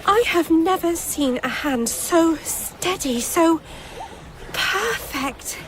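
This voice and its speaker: High-voiced, curious young woman. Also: expressive, slow pace, background noise, exaggerated voice. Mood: curious wonder. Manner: earnest, wondering. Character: curious, eager young woman, a poetry pupil. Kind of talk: radio drama acting.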